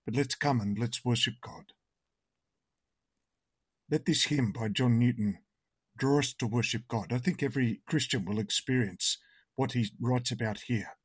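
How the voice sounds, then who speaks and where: A man with a hoarse, croaky voice, leading an online worship service, reading a hymn and praying in a slow, earnest and reverent manner. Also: medium pitch, no echo.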